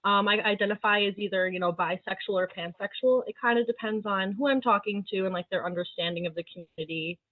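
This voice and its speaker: A woman's voice: mid-range voice, quick pace, few pauses. A young woman on a panel, introducing herself in a candid, conversational way with fillers. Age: young adult. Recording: tinny phone-like call audio.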